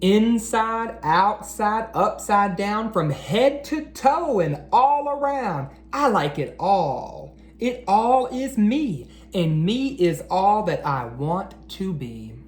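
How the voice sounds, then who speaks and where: Very animated man reading aloud to children. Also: sits high, slow pace, hardly any pauses. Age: adult. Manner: expressive, encouraging, addressing children directly. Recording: roomy echo, faint background noise.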